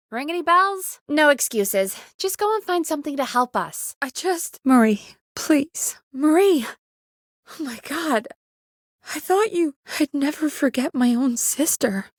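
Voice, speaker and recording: A young woman radio producer in a video game, with a high-pitched, expressive voice. She is caring and anxious, with a wry, exasperated edge to her conversational delivery. The sound is dry, with no room around it. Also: no echo, exaggerated voice. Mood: distressed, pleading. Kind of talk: naturalistic video game dialogue acting.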